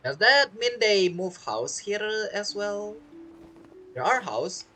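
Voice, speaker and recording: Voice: medium pitch, big pitch swings, slow, bright, forceful tone. A game streamer giving casual gameplay commentary, reacting and thinking aloud. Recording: faint game music behind.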